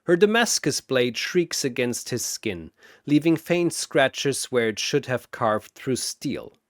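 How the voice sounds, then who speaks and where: A man's voice: mid-range voice, expressive, takes it slowly, dry recording, clean studio sound. A man narrating a scripted fantasy battle story in a dramatic style.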